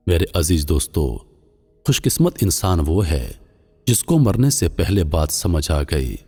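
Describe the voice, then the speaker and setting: Deep, solemn male storyteller in long reverb. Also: warm tone, breathy edge. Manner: solemn, deliberate. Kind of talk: scripted religious moral-story narration. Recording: long reverb, music underneath. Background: music.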